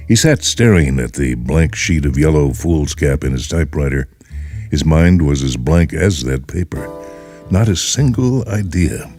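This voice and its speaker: A man hosting and reading short stories as dramatic narration, measured and deliberate with theatrical pauses. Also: extremely low pitch, animated, a little mellow. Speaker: host and story reader. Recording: music bed behind the voice. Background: music.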